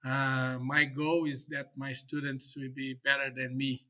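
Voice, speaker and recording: A man from Brazil with a Brazilian Portuguese accent, Portuguese native, speaking as a professor in a podcast interview, halting with many fillers and restarts. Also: mid-range voice, flat delivery, pushed and bright. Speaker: professor and guest.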